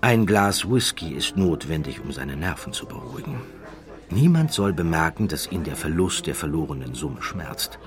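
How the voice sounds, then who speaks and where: A man narrating an audiobook from a literary script, with measured, dramatic phrasing. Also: very deep voice, sing-song, over music.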